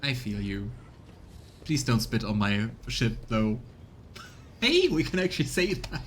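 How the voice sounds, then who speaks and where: A man's voice: big pitch swings, a little slow. A man giving casual commentary as he plays a game on stream. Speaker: let's play streamer voicing the game's characters. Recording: rumbly background noise.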